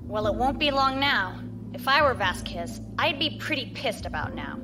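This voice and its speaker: A woman's voice: a little high, sing-song, moderate pace. A woman playing a brash, wry spaceship crew officer in a science fiction audio drama, casual and blunt. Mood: wry, frank. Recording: music behind the voice.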